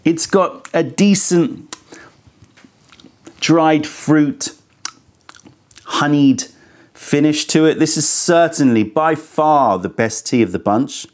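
A man's voice: a little high, slow pace, pauses often. A man in his thirties hosting a casual tea tasting review, thoughtful and candid, a bit critical, speaking into a lapel mic in an indoor studio. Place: indoor studio set with sofa.

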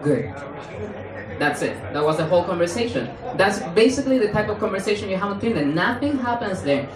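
A man's voice: fairly quick, crowd noise. A young man from Spain with a Spanish accent, performing a playful stand-up set on stage with a handheld mic in a small club. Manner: animated, playful, jumps into high mock voices for quotes. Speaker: stand-up comedian. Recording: roomy club sound from far off, audience laughing.

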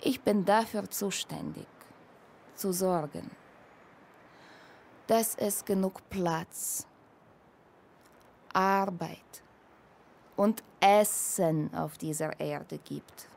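A patient figure of authority in a radio play. She speaks extremely slowly at a medium pitch, coaxing and gently lecturing. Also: vocal fry, full character voice. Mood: calm coaxing. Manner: measured, coaxing, deliberate.

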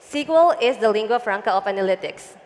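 A woman in her thirties explaining a data project in a measured way, using a headset mic on a conference stage. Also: mid-range voice, animated, darkish tone, big-room sound. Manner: measured, explanatory, some fillers. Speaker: guest, data engineering lead. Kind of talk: onstage tech interview. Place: large conference stage before an audience.

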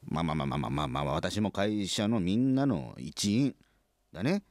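An actor playing a flustered, good-natured middle-aged company president in a comic radio drama, stammering and hesitant. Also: male voice, low-pitched, big pitch swings, normal speed, a little mellow, dry recording, clean studio sound. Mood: flustered, evasive.

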